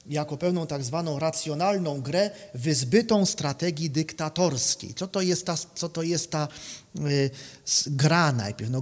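Halting male lecturer in an echoing hall. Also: on the high side. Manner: halting, repeats words, often tags phrases with a questioning check. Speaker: academic philosophy lecturer. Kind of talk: conference lecture, partly improvised. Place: conference room, indoors. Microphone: microphone on a stand at a lectern. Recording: reverberant hall sound.

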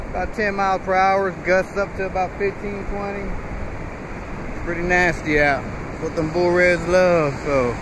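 A man talking casually while fishing on a windy beach, relaxed and joking. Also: on the high side. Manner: laid-back, chatty, joking. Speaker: fishing vlogger. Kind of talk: casual outdoor vlog.

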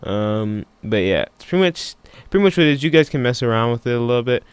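A man giving a casual software tutorial in an offhand, unscripted way, thinking aloud as he works. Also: medium pitch, lively intonation, fast, clean tone, no echo. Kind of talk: casual screen-recording tutorial.

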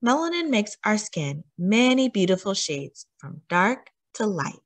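Woman, children's read-aloud, expressive varied intonation, teacherly emphasis, mid-range pitch, questioning asides. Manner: deliberate, teacherly emphasis with questions to young listeners. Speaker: children's story time host reading a picture book aloud.

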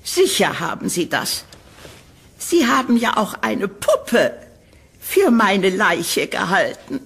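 A woman playing a condescending older landlady in an audio horror drama, sugary and dismissive, with a patronising, drawn-out delivery. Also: mid-range voice, sing-song, creaky, fairly bright tone, slightly rough, exaggerated voice.

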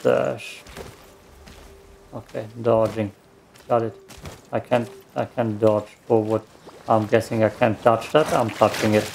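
Slow gravelly German gamer. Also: male voice, fairly low pitch, little pitch movement, a little mellow, a little hiss or hum. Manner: muttering reactions to himself. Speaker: let's play gamer. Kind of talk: casual gameplay commentary.

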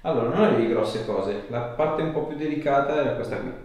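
A man's voice: mid-range voice, fairly quick, darkish tone. A man, an osteopath giving a patient casual, reassuring short instructions in a small clinic room. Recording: echoey small room, background noise, off-mic.

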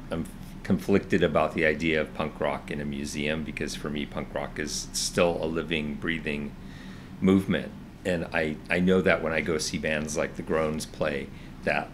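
A man's voice: extremely low pitch, fairly bright tone, a little hiss or hum. A man in his fifties co-hosting a casual vlog, earnest and hesitant, often restarting his sentences, seated on a sofa indoors. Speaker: vlog co-host. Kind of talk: casual chat. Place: indoors, living room with orange sofa.

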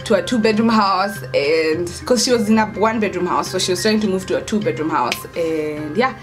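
A young adult woman with a Kenyan accent, telling a story in casual vlog style, expressive and emphatic, repeating words for stress. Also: lowish voice, brisk, soft background music, poor audio. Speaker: vlogger, storyteller. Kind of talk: casual storytime chat to camera.

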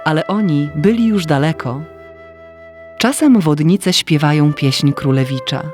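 A woman's voice: low voice, unhurried, darkish tone, soft background music. A woman reading a scripted fairy tale as narrator.

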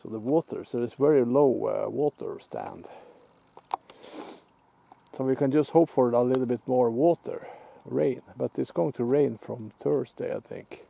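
A Norwegian man speaking English with a Norwegian accent, chatting in a relaxed, halting way while fishing alone by a lake, picked up by a camera on the shore. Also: mid-range voice, gravelly, slightly rough. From Norway. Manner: relaxed, musing, halting with restarts. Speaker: outdoor vlogger. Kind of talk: casual self-filmed commentary. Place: lakeside outdoors, off camera.

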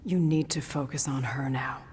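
An actress playing the solemn, tender ghost of a dead mother in a video game, with a hushed, earnest delivery. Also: extremely low pitch, normal speed, husky, exaggerated voice. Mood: sorrowful. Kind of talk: dramatic video game cutscene acting. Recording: long reverb, noisy ambience.

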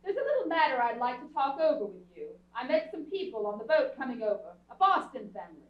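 A haughty, scheming young socialite in an old radio comedy, played by a woman. Her high, lilting voice is sly and commanding, caught from far off in an echoey theatre. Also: sing-song, fast talker. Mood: sly, coaxing. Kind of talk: staged old-time radio comedy acting. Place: indoor theatre stage, distant camera. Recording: distant, echoey, dull theatre stage recording.

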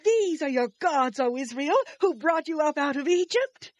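A woman's voice: high voice, animated, normal speed, dry recording. A woman playing an insistent, restless Israelite in a dramatized scripture production, declaiming to others. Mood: proclaiming. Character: one of the Israelite people, a restless woman demanding gods.

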